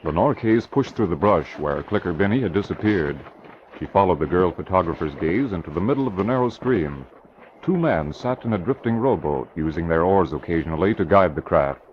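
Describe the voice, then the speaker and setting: A man's voice: deep voice, animated, brisk. A man narrating an old-time radio crime drama in scripted storytelling style. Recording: muffled, noisy old radio recording.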